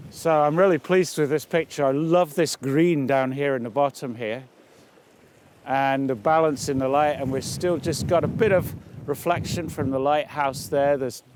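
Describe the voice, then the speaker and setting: Man, slightly high-voiced, presenting outdoors. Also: clear, resonant tone. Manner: thinking aloud while demonstrating. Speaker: photography presenter. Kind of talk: instructional talk to camera, unscripted. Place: outdoors on location.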